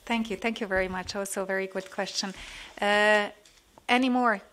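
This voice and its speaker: A woman in her forties moderates a Q&A in a large conference hall, speaking into a desk microphone. She is courteous and fills pauses with "uh"s as she sums up. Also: mid-range voice, fairly even intonation, fairly bright tone, slight background noise. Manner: polite, with many fillers when summarizing.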